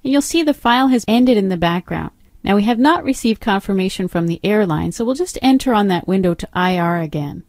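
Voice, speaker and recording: Woman narrating a software tutorial, dry close sound. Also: mid-range voice, no echo. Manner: step-by-step, instructive. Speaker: software trainer. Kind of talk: instructional screen demo narration.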